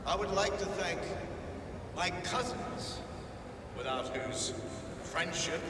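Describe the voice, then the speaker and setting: An actor playing a self-important wealthy sheikh in a video game, giving a grandiose, showy ceremonial speech. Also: male voice, sits high, heavy background noise. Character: self-important wealthy male sheikh, boastful tycoon host. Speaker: speech-giver opening a skyscraper. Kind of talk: theatrical ceremonial public speech. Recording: distant echoing PA speech under loud music.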